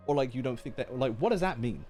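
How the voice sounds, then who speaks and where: A man's voice: mid-range voice, animated, fast, dark tone, soft background music. A man giving casual live reactions as he streams a game, chatty and questioning things aloud.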